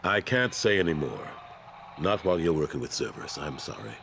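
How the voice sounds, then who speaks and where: Older male councilor for a game, very low voice, gruff, weary but steadfast, faint ambient noise. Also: very animated, brisk, full character voice. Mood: matter-of-fact. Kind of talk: video game dialogue.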